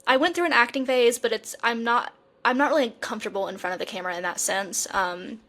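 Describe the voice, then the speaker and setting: Young female podcast co-host, slightly high voice, informal conversational delivery. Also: fast talker, fairly bright tone. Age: young adult. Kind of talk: casual chat.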